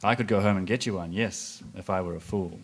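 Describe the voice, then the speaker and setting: A man reading the part of a scornful male prologue speaker in a live stage comedy, loud and theatrical, scolding and mocking. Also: low-pitched, fairly quick, slightly rough. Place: live performance space with audience.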